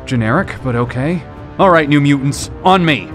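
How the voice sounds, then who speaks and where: A man's voice: on the high side, moderate pace, faint music behind, natural voice. A man playing a firm hero team leader. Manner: firm, terse.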